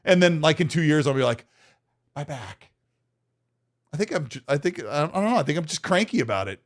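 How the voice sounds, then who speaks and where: Lively, laughing man in his late forties. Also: fairly high pitch, fairly quick. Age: 40s. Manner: self-mocking, laughs often. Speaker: podcast co-host. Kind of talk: casual podcast banter.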